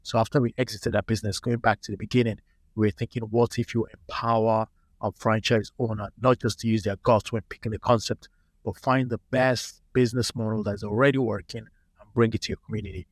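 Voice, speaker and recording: A man in his late thirties from Nigeria, telling relaxed stories as a founder in a casual podcast interview. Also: lowish voice, brisk, no echo. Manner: relaxed anecdotal storyteller. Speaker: startup founder, guest.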